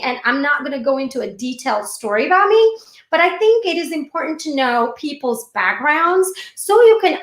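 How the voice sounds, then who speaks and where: High-pitched chatty woman in an echoey room. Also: fairly bright tone. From born and raised in India, moved to the United States at fifteen. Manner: chatty, addresses viewers directly. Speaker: speaker hosting a live online session. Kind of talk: informal motivational talk to livestream viewers.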